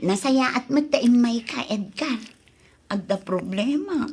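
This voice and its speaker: A woman performs a coaxing, scheming young woman in a radio drama. The voice sits high, with an Ilocano accent and a wheedling manner that suits someone fond of money and gambling. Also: lively intonation, measured pace, darkish tone, breathy edge, heavily acted.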